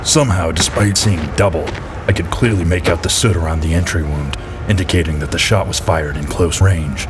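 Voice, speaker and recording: A man playing a deluded, self-important private detective in a deadpan hardboiled parody. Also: deep voice, fairly quick, music in the background, natural voice. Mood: deadpan narrating. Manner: bumbling, grandiose, deadpan. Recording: rough, clearly noisy background.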